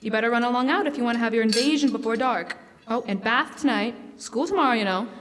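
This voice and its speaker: A woman's voice: fairly high pitch, fast talker, fairly bright tone, lightly acted. A woman playing an indulgent but firm housewife mother in a classic-style radio drama performed on stage. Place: on stage in a school hall. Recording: echoey hall, live stage performance.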